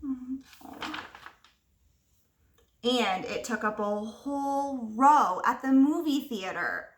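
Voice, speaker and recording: A woman teacher reading a picture book aloud to young children, expressively, pausing to ask them simple questions. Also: high-pitched, expressive. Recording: roomy echo, distant microphone.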